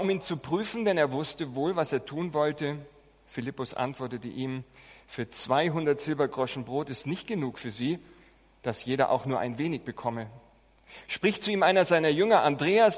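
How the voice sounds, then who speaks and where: A man preaching as a pastor to a congregation, with a measured, emphatic delivery. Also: a little high. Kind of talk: sermon with scripture reading. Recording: long hall reverb.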